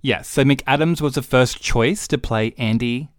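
A man's voice: mid-range voice, a little slow, no room sound, studio quality. A man co-hosting a podcast in casual chat, rambling with plenty of fillers and restarts. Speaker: podcast co-host.

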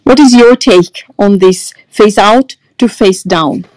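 A woman's voice: medium pitch, slow pace, darkish tone, no echo, poor audio. A woman with a Norwegian accent hosting and moderating a live podcast panel, reading the introduction and handing over to speakers. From Norway. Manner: reads an introduction, then hands over to speakers.

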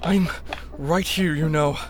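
An actor playing a wounded rebel agent, dry and blunt with a gravelly voice, in a sci-fi audio drama. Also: mid-range voice, fairly even intonation, slow, close to an everyday voice. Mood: dry, matter-of-fact.

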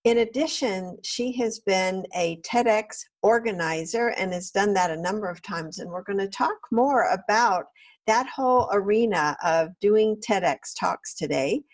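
A woman hosting a podcast, reading polished scripted introductions in a warm manner. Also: medium pitch, animated, a little slow. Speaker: podcast host. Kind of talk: podcast interview.

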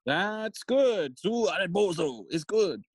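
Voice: medium pitch, expressive, takes it slowly, slightly rough, no room sound. An actor playing a fussy old male counsellor at a royal court in a classical audio play, with a theatrical manner.

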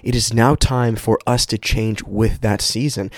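A man hosting a casual motivational podcast, speaking informally and emphatically, his voice slightly raspy and prone to cracking as he gets over a cold. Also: lowish voice, fairly even intonation, unhurried, no echo, studio quality. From Canada. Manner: informal, emphatic, addresses listeners as guys, with profanity. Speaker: podcast host.

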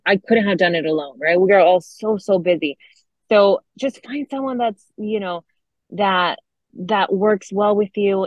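A woman's voice: mid-range voice, dry recording. A young woman from Argentina whose first language is Spanish, a medical student answering podcast interview questions earnestly and enthusiastically. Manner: earnest, enthusiastic, with fillers and restarts. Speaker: medical student, program co-founder. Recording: dull dry lo-fi sound.

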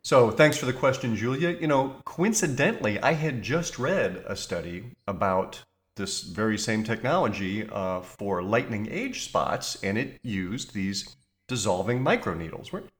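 A man's voice: lowish voice, animated, moderate pace. A man co-hosting a science podcast, skeptical and joking while he presents a study. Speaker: podcast co-host. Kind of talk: casual science podcast chat.

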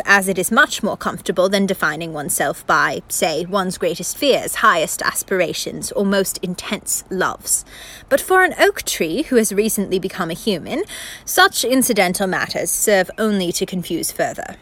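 Radio play narrator woman: literary, measured, philosophical, mid-pitched, faintly lecturing delivery. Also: very animated, fairly bright tone. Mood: formal, reflective.

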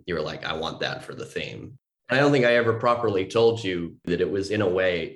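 A man's voice: sits low, fairly bright tone. A young man talking casually as an interview guest, rambling with lots of fillers and restarts. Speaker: composer guest.

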